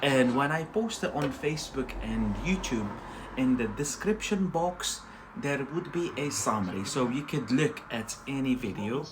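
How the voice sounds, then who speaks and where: A man's voice: medium pitch, a little hiss or hum. A man from Algeria with an Algerian accent tinged by Scottish English, hosting a casual livestream English lesson with lots of fillers and tag questions. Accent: Algerian, with a Scottish influence. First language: not English. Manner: chatty, rambling, with many fillers and tag questions. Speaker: English teacher and livestream host.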